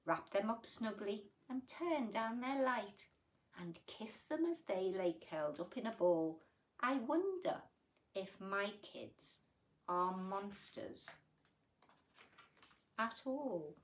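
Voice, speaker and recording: A woman voicing a tired, put-upon mother of unruly children in rhyming, measured picture-book narration. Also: medium pitch. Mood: tender, dramatic. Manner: measured, expressive, rhythmic.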